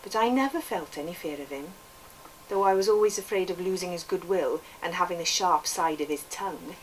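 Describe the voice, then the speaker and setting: Storybook narrator: earnest Welsh youth played by a woman, lowish pitch, lyrical measured lilt, slightly distant noisy recording. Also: close to an everyday voice. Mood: earnest, wistful. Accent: put-on Welsh. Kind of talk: expressive literary reading. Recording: distant microphone, background noise.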